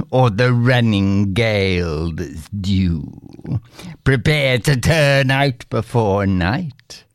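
A man acts out a haughty magistrate, the villain of a folk tale. His voice drops low and drags out every threat with pompous menace. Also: vocal fry, dry recording, clean studio sound, full character voice. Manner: pompous, drawn-out threatening delivery. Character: haughty, menacing male land agent and magistrate.

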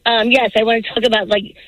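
A woman's voice: a little high, flat delivery, moderate pace, fairly bright tone, dry recording. A woman with a naturally scratchy voice, calling in by phone from her car. She talks in a brash, playful, rambling way. The sound is close and dry. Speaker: caller. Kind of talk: casual phone-in chat.

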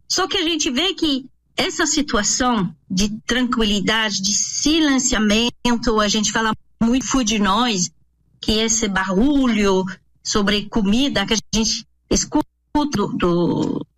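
A middle-aged woman from France with a French accent, a native French speaker, explaining as an expert guest in an interview, halting and repeating words as she searches for them. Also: high voice, a bit bright, poor audio.

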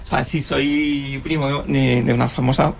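A man's voice: mid-range voice, flat delivery, measured pace, vocal fry, a crowd in the background. A man from Madrid with a local accent, chatting casually as an invited audience member. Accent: Madrid Spanish. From Madrid, Spain. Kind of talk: casual chat.

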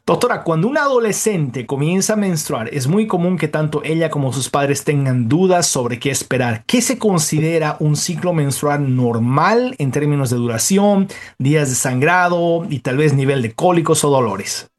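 A man with a slightly high-pitched voice hosts a radio interview program. He is a doctor who presents in a courteous, formal manner. His delivery is articulate, like a prepared introduction. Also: lively intonation, normal speed, few pauses.

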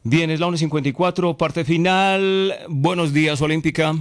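A man's voice: fairly high pitch, fairly even intonation, normal speed, clean tone. A Colombian man hosting a radio call-in show, chatting casually and joking with callers. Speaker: radio host.

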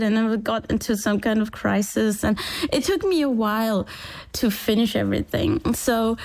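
A woman in her forties from Sri Lanka, telling her story in an interview, laughing often and acting out what people said. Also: on the high side. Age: 40s. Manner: laughs often, animated, quotes people in little voices. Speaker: interview guest, engineer and founder. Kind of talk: conversational storytelling.

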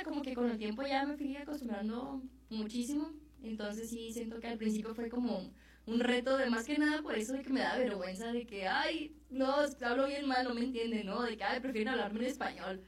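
A woman's voice: a little high, heavy reverb, far from the mic. A young woman, a student guest chatting in a radio interview with lots of fillers. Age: young adult.

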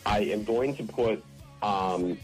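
A man's voice: lowish voice, slow pace, soft background music, low-quality recording. A man calling in to a sports radio show, rambling and stammering, repeating words as he gives his predictions. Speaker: caller. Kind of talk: call-in sports radio chat.

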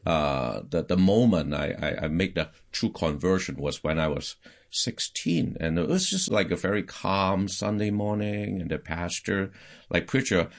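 A middle-aged pastor from Hong Kong, telling his life story in a casual interview, halting with many restarts. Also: deep voice, animated, vocal fry. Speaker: pastor and podcast guest.

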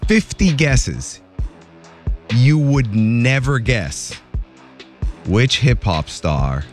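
A man hosting a morning radio show, telling entertainment news in a jokey, animated way. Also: extremely slow, faint music behind. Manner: animated, jokey, voice jumps high for emphasis.

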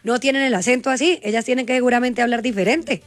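A Colombian woman hosts a morning radio show in a chatty, teasing manner. Her voice sits a little high. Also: quick pace. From Colombia, Cali. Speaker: radio host. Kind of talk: casual radio chat.

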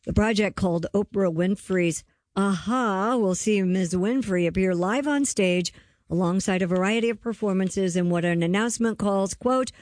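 A woman's voice: medium pitch, normal speed, a little mellow, no echo. A woman co-hosting a talk show, chatting casually and reading entertainment news items aloud. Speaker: show co-host.

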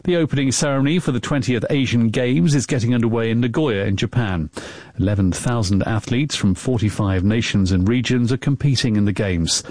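A man's voice: medium pitch, a little fast, darkish tone, no room sound. A man reading a scripted radio news bulletin as a newsreader.

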